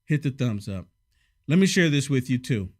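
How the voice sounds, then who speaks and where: A man hosting casual political commentary, sarcastic and emphatic, a little hoarse from a head cold. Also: mid-range voice, very animated, moderate pace.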